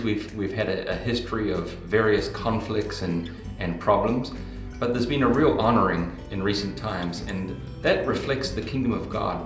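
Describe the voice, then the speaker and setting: A man, speaking in a thoughtful, fluent way. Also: lowish voice. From New Zealand. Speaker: interviewee. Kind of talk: reflective testimony. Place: indoor studio with dark backdrop. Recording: roomy with guitar music. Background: soft guitar music.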